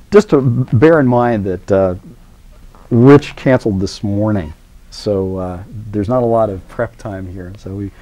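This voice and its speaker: A man's voice: lowish voice, dark tone, low-quality recording. Rambling middle-aged man, lots of uhs. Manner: rambling and chatty, many filler "uh"s, self-mocking. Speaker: university course instructor introducing a guest. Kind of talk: live classroom lecture introduction. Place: university lecture room.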